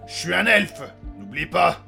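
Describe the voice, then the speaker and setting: A loud-mouthed elf sea captain acted by a man, with a very creaky, forceful voice. He talks in blunt slang like a grumpy old sailor who has seen it all. Light music plays behind this comic fantasy drama. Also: fairly high pitch, sing-song, unhurried, heavily acted. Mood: wry, knowing. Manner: blunt, slangy, hesitant delivery. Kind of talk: French comedic fantasy audio drama acting.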